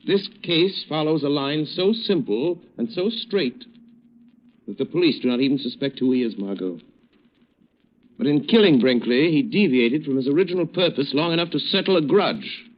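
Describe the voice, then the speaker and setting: An actor playing a shrewd, confident detective hero in 1940s radio drama style, reasoning earnestly and emphatically. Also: male voice, on the high side, expressive, moderate pace. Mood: grave, deliberate. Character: shrewd, confident amateur detective and secret crimefighter, adult man. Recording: muffled old-time radio recording.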